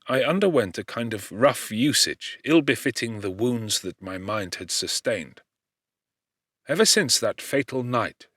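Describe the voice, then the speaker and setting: A man narrating an audiobook with an expressive, measured storytelling delivery, recorded close to a studio microphone. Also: fairly low pitch, no echo. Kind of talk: scripted literary narration.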